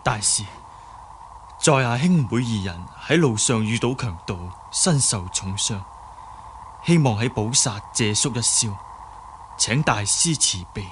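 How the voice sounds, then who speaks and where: A man plays a wounded young martial hero in an old Cantonese radio drama, faint noise behind. He is polite and earnest, with a Hong Kong accent and a medium-pitched voice. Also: animated, unhurried, close to an everyday voice. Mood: humble.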